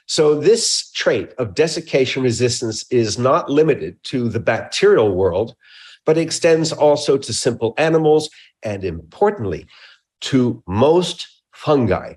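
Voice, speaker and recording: Male research scientist, interview guest. Measured, technical explainer with emphatic phrasing. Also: mid-range voice, animated, darkish tone.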